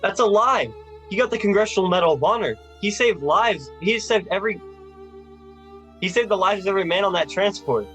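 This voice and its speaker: A man's voice: high-pitched, expressive, brisk, a bit bright, faint music behind. A man acting a desperate, stubborn family man in an amateur radio play.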